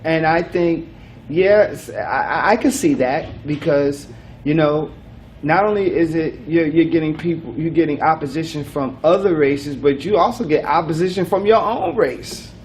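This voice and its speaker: Man, talk show host, rambling, restarts, slightly high voice, faint room noise. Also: moderate pace. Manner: loose, rambling, restarts often. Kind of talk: casual interview chat.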